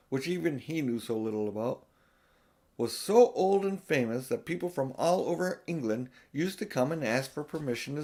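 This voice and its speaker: A man's voice: medium pitch, a little mellow. An older man reading a children's storybook aloud, with casual, chatty asides. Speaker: audiobook reader.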